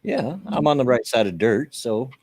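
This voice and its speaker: A man's voice: medium pitch, lively intonation, normal speed, noisy background. A man with an American accent, co-hosting a gaming stream in casual, folksy, plain-spoken banter, close to the microphone. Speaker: co-host of a gaming radio stream. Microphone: close microphone at a home setup.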